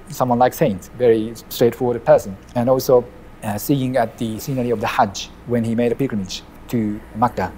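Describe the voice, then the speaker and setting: A man's voice: medium pitch, darkish tone, slight background noise, low-quality recording. Japanese-accented man telling his story. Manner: measured, restarts phrases, searching for words. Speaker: imam.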